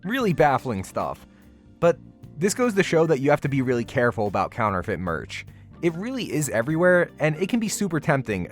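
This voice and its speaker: A man narrating a convention vlog in a casual, slangy voice-over with wry, playfully exaggerated commentary. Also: medium pitch. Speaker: vlogger narrating a convention walkthrough.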